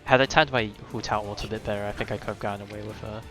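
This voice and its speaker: A man's voice: lowish voice, steady tone, rapid-fire. A man live streaming a video game, thinking aloud in casual, rambling commentary that often trails off. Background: video game music.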